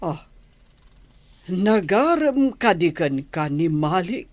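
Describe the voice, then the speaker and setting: A woman's voice: lowish voice, sing-song, takes it slowly, dry recording, exaggerated voice. A woman acting a grave, concerned noblewoman and mother in an Ilocano radio drama, recorded with noise behind her.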